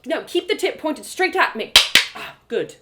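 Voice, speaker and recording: A woman's voice: sits high, big pitch swings, measured pace, heavy background noise, voice pushed up. A woman voicing a proud, spirited young female elf warrior who lectures emphatically in an audio drama. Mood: teasing, indignant.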